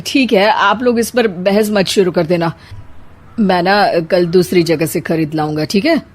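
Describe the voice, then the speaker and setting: A woman's voice: fairly low pitch, a little slow, a little hiss or hum, lightly acted. A woman voicing a patient young husband in a cartoon story, soothing and mildly exasperated. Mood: reassuring. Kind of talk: Hindi cartoon story dialogue.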